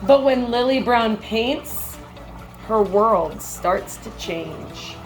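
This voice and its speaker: A woman reading a children's picture book aloud like a teacher, with measured, expressive phrasing and brief comments. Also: fairly high pitch, vocal fry, faint music behind, rough recording.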